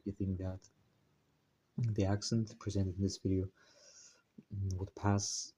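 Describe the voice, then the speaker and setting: Male channel host, deep dark tone, hesitant delivery with many restarts, working at a put-on American accent. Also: monotone, a little hiss or hum. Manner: hesitant, full of fillers and self-corrections; deliberately putting on an American accent. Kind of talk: casual spoken introduction to a text study.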